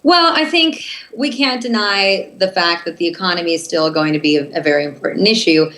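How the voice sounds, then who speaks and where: A young woman, answering interview questions earnestly and passionately in a steady, flowing way. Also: fairly low pitch, brisk. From United States. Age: 20s. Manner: earnest, passionate, steady flow with filler restarts. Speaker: guest, TV news host.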